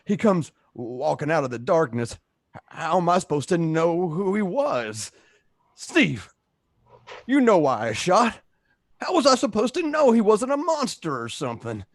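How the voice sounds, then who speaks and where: A man's voice: high-pitched, dry recording, pitched above the performer's own voice, heavily acted. Man performing a desperate accused man, stammering, pleading, radio play, dry recording. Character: frightened, desperate man pleading his innocence to neighbours.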